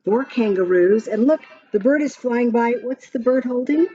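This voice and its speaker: A woman reading a rhyming picture book aloud to young children in an expressive, sing-song way, with counting and little asides. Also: a little high, moderate pace. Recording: muffled lo-fi audio with background music. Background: music from a picture-book app.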